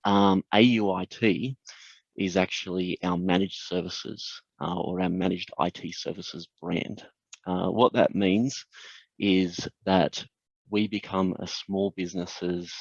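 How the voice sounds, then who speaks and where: Deep voiced guy hosting a webinar, lots of ums, muffled dry call audio. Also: steady tone, slow pace, gravelly, no room sound. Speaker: webinar host introducing a guest. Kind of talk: hesitant introduction full of fillers.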